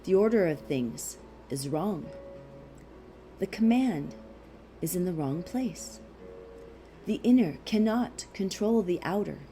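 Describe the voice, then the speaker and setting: A woman reading a philosophical text aloud as scripted narration, in a measured, deliberate way with pauses. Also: fairly low pitch, lively intonation, mellow, faint music behind. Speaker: audiobook reader.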